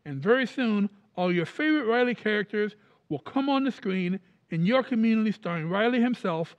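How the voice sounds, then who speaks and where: High-pitched 1940s radio announcer , a man acting. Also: expressive, fairly quick, lo-fi sound. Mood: enthusiastic. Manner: polished, enthusiastic salesmanship.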